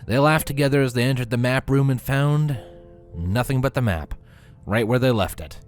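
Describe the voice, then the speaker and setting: A man's voice: medium pitch, normal speed, faint music behind. A man narrating a scripted story as a reader. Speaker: fan fiction reader.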